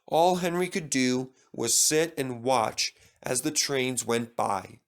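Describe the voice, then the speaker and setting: Male storybook narrator, middle pitch, very animated intonation, unhurried delivery. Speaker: storybook reader. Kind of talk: scripted children's story narration.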